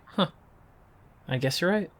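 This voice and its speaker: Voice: medium pitch, expressive, a little slow, fairly bright tone. A woman playing an awkward, secretive, apologetic young local in an audio drama, hesitant and evasive.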